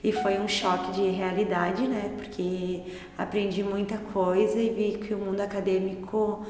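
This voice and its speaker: A woman's voice: mid-range voice, level tone, unhurried, darkish tone, a little hiss or hum. A woman in her thirties with a southern Brazilian accent, telling things casually as a student interviewee. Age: 30s. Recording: echoey hall, music underneath.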